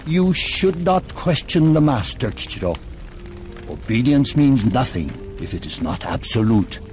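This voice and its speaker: A man playing a devoted older samurai lord in a game cutscene, speaking in a measured, courtly, theatrical way. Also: mid-range voice, very animated, a little mellow, faint music behind. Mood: admonishing. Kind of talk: dramatic game cutscene acting.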